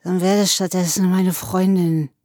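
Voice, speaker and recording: A woman's voice: on the low side, flat delivery, a little slow, warm tone, no room sound, close to an everyday voice. Lady doing a drunk student guy in an audiobook, whiny and weepy, slurring, childish despair, really dry sound. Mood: drunken, maudlin. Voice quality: slurred, tearful. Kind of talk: audiobook character acting.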